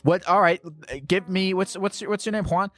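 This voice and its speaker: A man hosting a call-in show, teasing his caller with profane, quick-fire banter. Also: sits high, little pitch movement, a bit bright, soft background music. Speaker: host.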